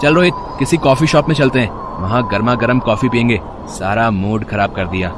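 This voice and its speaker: A man's voice: medium pitch, fairly quick, close to an everyday voice. A man voicing an arrogant rich young college student who sneers and bullies in a moral story. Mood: bullying. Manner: sneering, haughty. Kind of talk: animated moral story dialogue. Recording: faint street noise behind.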